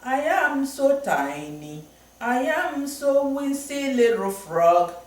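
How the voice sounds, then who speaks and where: A tiny long-legged frog pleads in a high chanting song, as a man voices her in a storybook. The delivery is slow. It sounds far away in a very echoey room. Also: sing-song, full character voice. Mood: pleading chant.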